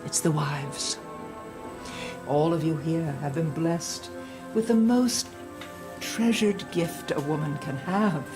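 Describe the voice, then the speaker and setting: An actress playing a stern, pious older matron in an audio drama, preaching in a measured, sanctimonious way. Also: female voice, low voice, over music. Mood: solemn warning. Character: stern, pious older matron instructing young women in a theocracy.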